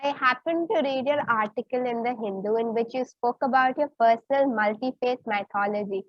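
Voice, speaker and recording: A woman's voice: on the high side, fairly quick, dry recording, faint music behind. A woman audience member in a webinar asks a question politely and formally. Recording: dull, dry webinar audio.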